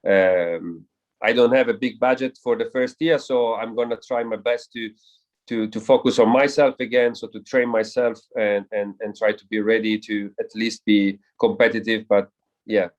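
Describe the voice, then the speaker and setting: A man's voice: lowish voice, fairly even intonation, moderate pace. A man speaking as an air race pilot in a casual interview, earnest and reflective, who often stumbles and repeats words while searching for them.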